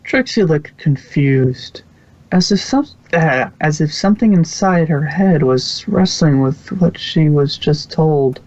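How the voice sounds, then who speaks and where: Dull-sounding, halting story narrator. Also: medium pitch, animated, a little mellow, no room sound. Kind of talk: scripted narration of fan fiction. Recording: dull lo-fi recording.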